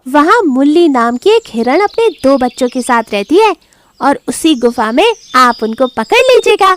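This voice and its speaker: A woman voicing a sly, flattering fox in an animated moral story, with a wheedling sing-song delivery. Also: sits high, normal speed. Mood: scheming. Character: sly, flattering fox advisor to a lion king.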